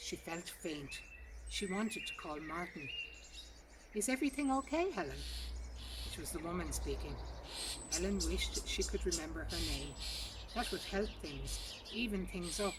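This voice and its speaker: A woman's voice: on the low side, measured pace. A woman reads a short story aloud as scripted narration, recorded outdoors in a garden. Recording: distant mic, outdoor noise, birdsong. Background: birdsong and outdoor ambience.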